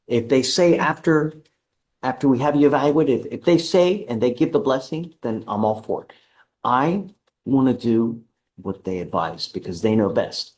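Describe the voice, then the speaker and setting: A man speaking as a judge at a courtroom hearing, measured and deliberate, stern but patient. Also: medium pitch, lively intonation, darkish tone.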